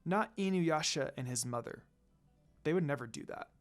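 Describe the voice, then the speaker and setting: Narrator as a soft, earnest young woman. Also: mid-range voice, lively intonation, moderate pace, faint music behind, natural voice. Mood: pleading. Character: gentle, earnest teenage girl, kind but determined.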